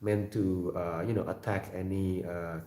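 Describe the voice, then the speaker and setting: A man with an Indonesian accent, not a native English speaker, hosting a casual livestream and reading viewer comments in a halting way, with repeated words, fillers and frequent throat clearing. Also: low voice, level tone, warm tone. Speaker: livestream host. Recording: echoey room.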